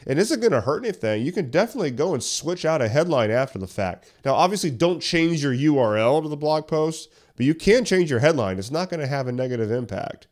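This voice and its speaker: Guy doing a solo podcast, kinda hoarse, chatty how-to tone, close mic. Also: a little high, very animated, fast talker, few pauses, darkish tone. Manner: conversational, explains step by step with questions. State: slightly hoarse, getting over a cough. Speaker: podcast host. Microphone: studio podcast mic.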